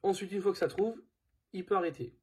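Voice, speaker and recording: A man's voice: sits high, fairly quick, breathy edge. A man presenting a product in a casual hands-on tutorial, informal and thinking aloud as he goes.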